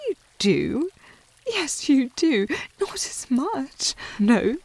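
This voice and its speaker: Casting: actress as prim, snooty young lady of the manor, British accent, fry-edged voice, coy and prickly, intimate period ASMR roleplay. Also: sits high, sing-song, drawn-out words, darkish tone, no room sound, full character voice. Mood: prickly, wounded. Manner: prim, snooty, formal phrasing with coy indirectness. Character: haughty, flustered young noble lady of the house, coy. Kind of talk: intimate ASMR historical romance audio roleplay.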